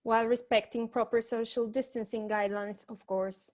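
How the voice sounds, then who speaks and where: A woman's voice: on the high side, fairly even intonation, moderate pace, darkish tone, lightly acted. A woman playing a private eye's worried, loyal assistant, speaking earnestly in a comic detective radio play. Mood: cautioning. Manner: earnest, cautioning.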